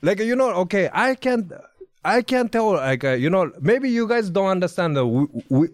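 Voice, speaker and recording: Japanese-accented man, halting English. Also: high-pitched, very animated, dry recording, studio quality. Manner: halting broken English, many fillers. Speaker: podcast guest. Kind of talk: casual chat. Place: podcast studio.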